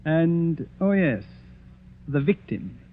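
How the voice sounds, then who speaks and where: Imperious, higher-voiced gentleman detective. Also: very animated, takes it slowly. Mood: grave, deliberate. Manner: self-assured, portentous. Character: Sir William, imperious, egotistical retired master detective. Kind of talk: 1940s radio mystery acting. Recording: muffled, hissy vintage 1940s radio recording.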